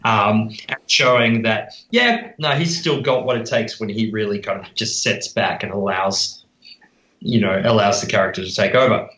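Halting man in a roomy, dull recording. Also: lowish voice, a bit bright. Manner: halting, thinks aloud. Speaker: podcast guest. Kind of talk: casual film discussion.

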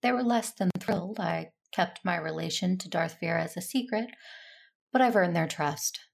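An actress playing a stern, principled warrior-monk in a space opera audio drama, measured and formal in her delivery. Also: on the low side, gravelly. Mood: stern, composed. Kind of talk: scripted audio drama dialogue.

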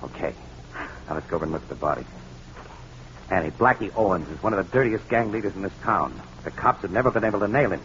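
An actor playing a tough, wisecracking newspaper photographer, brisk and slangy, in a 1940s radio crime drama. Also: male voice, sits low, expressive, fairly bright tone. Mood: hard-boiled. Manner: brisk, hard-boiled, slangy. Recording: muffled, noisy old radio recording.

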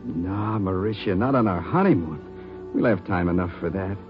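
A man's voice: low voice, expressive, normal speed. A man playing a dreamy, hopeful young husband, romantic and fervent, in 1950s radio drama style. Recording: tinny old radio recording, music behind.

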